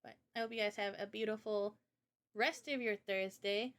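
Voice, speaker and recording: A woman's voice: medium pitch, steady tone, moderate pace, fairly bright tone. A woman streamer and game developer chatting casually with her audience in an informal, slangy way. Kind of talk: casual livestream chat.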